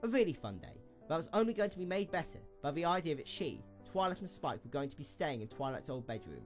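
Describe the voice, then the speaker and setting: Animated male storyteller over soft music. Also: on the high side, fast. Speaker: narrator. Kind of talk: scripted story narration.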